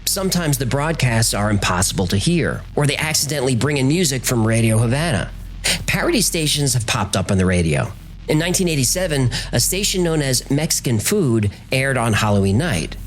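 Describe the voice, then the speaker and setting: A man's voice: mid-range voice, sing-song, a little fast, slight background noise. A man narrating a scripted documentary in a conversational, explanatory way, posing rhetorical questions as host.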